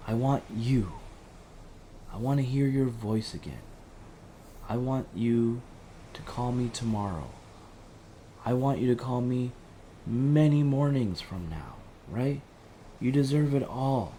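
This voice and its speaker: A man's voice: mid-range voice, slow pace, long pauses, warm tone, slight background noise, close to an everyday voice. A man playing an earnest, empathetic crisis helpline operator in an audio drama, reassuring and imploring in short, repeated pleas. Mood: tender, earnest.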